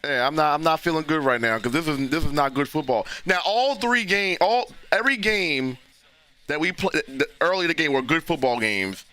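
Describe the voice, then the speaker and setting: A man, hoarse and losing his voice, hosting a casual live football reaction stream and talking loosely with frequent restarts. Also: on the high side, lively intonation, moderate pace, sharp tone. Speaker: livestream host reacting to a football game.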